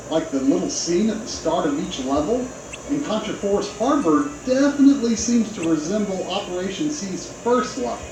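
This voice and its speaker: A man's voice: high voice, darkish tone, a little hiss or hum. A man narrating a scripted video game review in a conversational, opinionated way, with casual asides. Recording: roomy, played back with game music behind.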